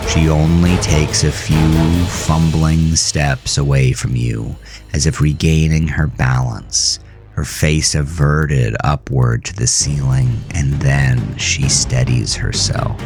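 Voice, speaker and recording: Low-voiced man narrating horror over music. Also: takes it slowly, gravelly. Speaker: author narrating his own horror story. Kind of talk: dramatic scripted horror narration. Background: music under the voice.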